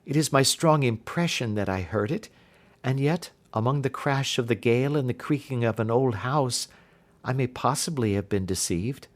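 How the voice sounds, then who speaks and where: A troubled young gentlewoman of a Victorian storybook , voiced by a man reading aloud. She speaks earnestly and formally, carefully laying out her account. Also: mid-range voice, lively intonation, moderate pace, lightly acted. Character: Helen, distressed young gentlewoman recounting her sister's death.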